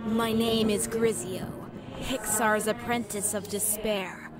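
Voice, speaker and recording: A woman's voice: mid-range voice, steady tone, slow pace, lightly acted. A woman voicing a cruel, mocking female villain apprentice who taunts her rival. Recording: heavy music score behind.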